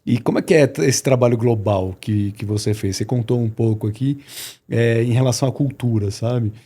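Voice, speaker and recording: A man's voice: medium pitch, fairly quick, warm tone. A man hosting a podcast interview in a casual, friendly way, agreeing with his guest and asking questions. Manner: friendly, with agreeing remarks and laughter. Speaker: podcast host.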